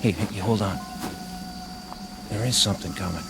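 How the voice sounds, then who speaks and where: An American actor playing a sardonic, war-weary infantry soldier who wisecracks in a radio drama. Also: male voice, fairly low pitch, moderate pace, creaky, very noisy. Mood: teasing, watchful. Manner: drawling, sardonic.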